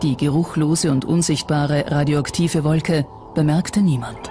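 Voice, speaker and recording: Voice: mid-range voice, fairly even intonation, unhurried. Documentary narrator over prominent music. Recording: music behind the voice. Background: background music.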